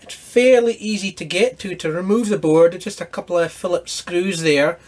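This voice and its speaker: A man's voice: high-pitched, moderate pace, a little mellow. A man hosting a casual hands-on repair walkthrough, explaining each step in a matter-of-fact way. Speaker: host of an electronics repair channel.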